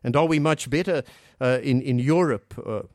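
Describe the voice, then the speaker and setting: A man from Africa preaching a sermon earnestly, posing rhetorical questions and swinging between hushed and emphatic delivery. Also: medium pitch, moderate pace, dry recording, clean studio sound.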